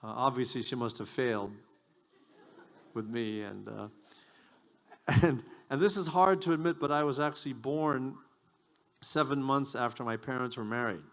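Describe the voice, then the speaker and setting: A man's voice: medium pitch, normal speed, long pauses, a bit bright. A man whose first language is Japanese, doing stand-up on a community event stage, self-mocking and rambling with stammered restarts. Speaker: stand-up comedian. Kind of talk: stand-up comedy set.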